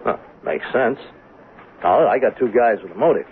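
An actor playing a dogged, puzzled police lieutenant in a 1970s radio mystery, with a blunt, probing manner. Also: male voice, medium pitch, animated, normal speed, close to an everyday voice. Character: dogged, puzzled male police lieutenant working a murder case. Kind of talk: 1970s radio drama acting. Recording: tinny, faintly noisy old radio broadcast.

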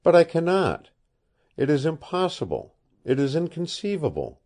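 Storybook: man voicing a tired, secretive young woman of about twenty, soft and pleading, pitched high. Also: wildly swooping pitch, normal speed, heavily acted. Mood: weary pleading.

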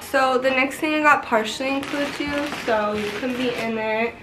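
A woman's voice: high-pitched, moderate pace, music in the background, poor audio. A young woman in her twenties chatting casually to the camera in a small apartment, congested from a cold, bubbly and chatty with casual swearing. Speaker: vlogger. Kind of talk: casual vlog chat to camera. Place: small apartment bedroom, indoors. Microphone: camera in front, close framing.

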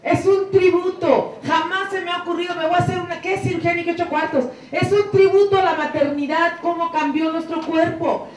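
A middle-aged woman giving an inspirational church talk on a handheld mic, fervent, moving between hushed prayerful passages and soaring emphatic lines. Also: very high-pitched. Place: room with curtained wall, indoors. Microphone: handheld mic, filmed from a distance. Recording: echoey room, camera far off.

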